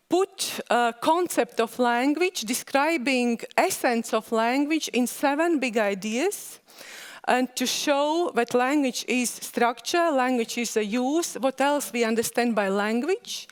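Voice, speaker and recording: High-voiced woman. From Latvia. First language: Latvian. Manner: halting non-native phrasing, frequent "uh" pauses. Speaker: conference speaker. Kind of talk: academic conference presentation.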